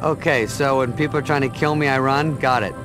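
A man's voice: medium pitch, fairly even intonation, fairly quick, natural voice. A man playing a small, cocky, sarcastic dragonfly sidekick in a video game, with a mouthy, teasing manner. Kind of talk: comic video game cutscene acting. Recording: music behind the voice.

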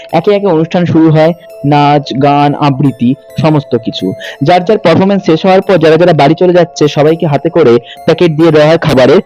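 A young man tells a ghost story in the first person. His voice is high for a man yet mellow in tone. He speaks conversationally with suspenseful pauses, over a clear bed of music. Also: lo-fi sound. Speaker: horror story narrator. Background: background music.

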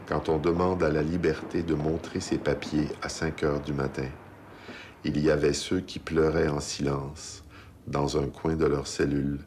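A man reciting a poem with measured, insistent phrasing. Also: very deep voice, background noise. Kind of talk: spoken poetry recital.

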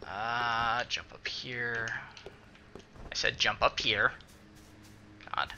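A man's voice: medium pitch, steady tone, extremely slow, sharp tone, highs cut off, slight background noise. A man giving casual let's-play commentary, muttering to himself and swearing mildly as he plays. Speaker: gaming video host.

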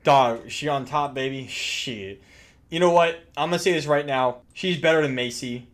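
A young man giving joking, slangy, excitable gaming commentary. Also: mid-range voice, moderate pace. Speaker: gaming YouTuber.

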